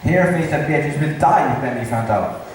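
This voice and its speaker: A man's voice: a little high, quick pace, natural voice. A man in an amateur school play, playing a conspiring, gossiping friend and stumbling over his lines. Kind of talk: amateur school stage acting. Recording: distant mic in echoey hall, noisy.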